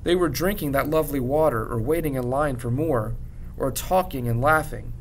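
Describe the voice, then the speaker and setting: A man narrates a children's story in a classroom read-aloud style. His voice sits at a middle pitch. A noticeable low rumble runs behind the recording. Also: moderate pace. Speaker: teacher reading a book aloud to students. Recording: clear background rumble noise.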